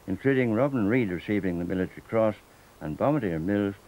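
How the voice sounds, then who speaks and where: An older man with a Northern Irish accent, reading a scripted documentary narration in a measured, steady way. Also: fairly low pitch, a little hiss or hum, poor audio. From Northern Ireland.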